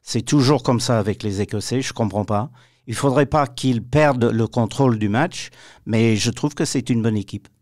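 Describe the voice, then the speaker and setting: A man's voice: medium pitch, normal speed, darkish tone, no echo, clean studio sound. A man giving his opinions as a pundit in a sports radio discussion.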